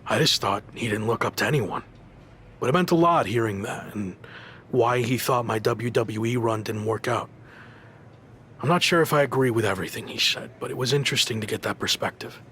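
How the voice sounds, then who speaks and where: An earnest male pro wrestler played by an actor in a video game story scene, out to prove himself. His voice sits at an average pitch and sounds natural and conversational, over faint background ambience. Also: a little fast, fairly bright tone, slight background noise, lightly acted. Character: male pro wrestler, former WWE talent, earnest underdog seeking revenge. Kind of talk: scripted video game cutscene acting.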